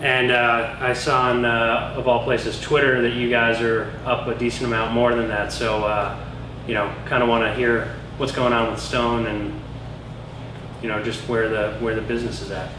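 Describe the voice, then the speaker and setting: A man's voice: medium pitch, level tone, normal speed, far from the mic, noisy background. Easygoing male interviewer, echoey room. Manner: easygoing, friendly questions. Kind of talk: casual interview.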